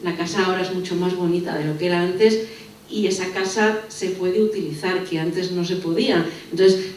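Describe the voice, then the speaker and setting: A woman's voice: medium pitch, a little mellow. Woman arguing in a very echoey hall. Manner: argumentative, builds points with rhetorical questions. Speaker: panelist. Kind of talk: unscripted public discussion. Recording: very echoey hall, distant mic, faint noise.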